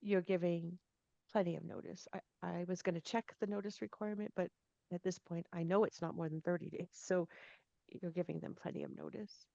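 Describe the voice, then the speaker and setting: A woman's voice: on the low side, fairly even intonation, a little fast, creaky, warm tone, no room sound. A woman speaking formally at a board meeting, choosing her words carefully and restarting in small bits. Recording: dull lo-fi meeting audio.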